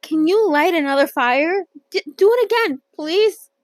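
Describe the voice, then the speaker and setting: An earnest, polite young-sounding hero from a fantasy storybook, voiced by a woman reader. The voice is high and sits dry and close. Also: animated, unhurried, dry recording.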